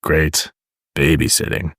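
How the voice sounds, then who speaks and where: A man's voice: rumbling low, completely flat delivery, slow pace, fairly bright tone, husky, no echo, clean studio sound, voice pushed down, full character voice. Storybook superhero kid brother, done by a male reader: young boy, cheeky, impulsive, grumbly. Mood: grumbling.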